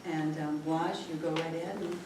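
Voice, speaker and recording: A woman advisor giving an informal public introduction, speaking haltingly and repeating phrases. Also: sits low, steady tone. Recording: echoey room, distant mic, clear noise.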